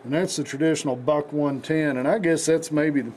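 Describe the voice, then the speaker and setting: A man with a hoarse, raspy voice from the flu, hosting a casual knife show with rambling talk and many fillers. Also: on the high side, fairly even intonation, fairly quick, a little mellow, a little hiss or hum. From United States. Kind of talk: casual product demonstration.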